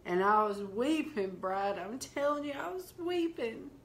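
A middle-aged woman minister with a Southern accent, telling heartfelt stories slowly in a preaching manner during a conversation. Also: fairly high pitch, expressive. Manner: slow, heartfelt preaching delivery. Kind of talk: testimony-style storytelling in conversation.

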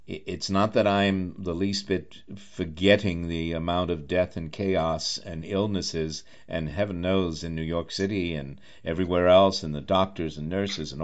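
A man with a low, deep voice hosts a chatty radio interview. He speaks effusively, in long and slightly formal phrases, like someone introducing an old friend. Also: highs cut off.

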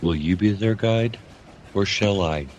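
A man's voice: on the low side, measured pace, a little mellow, muffled recording, slight background noise, natural voice. A man playing a mysterious, compassionate harlequin spirit in an audio fantasy drama, courteous and enigmatic. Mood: grave, compassionate.

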